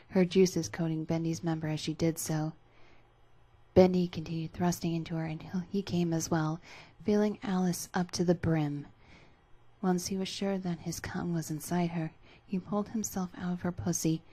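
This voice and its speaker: A woman's voice: fairly low pitch, dark tone, slightly rough. A woman narrating scripted fan fiction as a dramatic reading.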